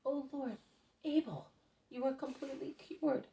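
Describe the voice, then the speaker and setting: A woman's voice: on the low side, steady tone, slow pace, mellow, slight background noise. A woman reading aloud as a man who cries out with joy at his friend's healing. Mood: joyful. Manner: exclaiming. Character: joyful male friend of a leper.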